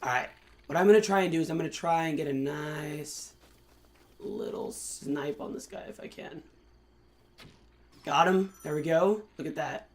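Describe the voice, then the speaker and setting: Hoarse young man doing game commentary. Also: fairly high pitch, normal speed, pauses often. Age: young adult. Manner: excitable, chatty, many fillers. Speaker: gaming channel host. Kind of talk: let's play commentary.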